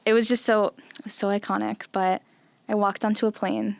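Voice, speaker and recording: A woman's voice: medium pitch, a little fast, no room sound. A woman in her late twenties, a visual artist guest on a podcast, talking casually with lots of "like". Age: 20s. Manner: chatty, heavy use of "like", animated. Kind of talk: casual interview chat.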